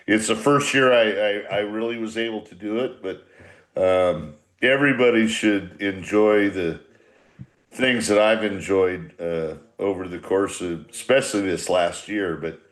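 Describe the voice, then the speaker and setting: An older American man in his sixties with a fairly low voice. He talks in a blunt, rambling way, salted with profanity, like a veteran coach chatting casually with a group. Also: measured pace. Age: 60s. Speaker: veteran baseball manager hosting a reunion.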